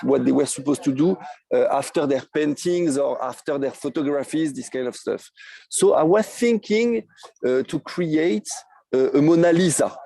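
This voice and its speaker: A man's voice: a little high, gravelly, mellow, poor audio. Man with a strong French accent. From France. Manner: halting, filler-heavy, eager storytelling. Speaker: guest, NFT project creator. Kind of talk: podcast interview.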